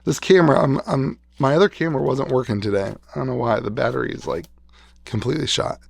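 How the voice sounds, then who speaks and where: A man's voice: mid-range voice, fast, vocal fry. A man who is losing his voice after being sick, chatting casually and rambling a little as he shows off a collection of cards in a vlog. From West Virginia. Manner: rambling, restarts, enthusing over old cards. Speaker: card shop owner showing a collection. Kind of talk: casual show-and-tell vlog.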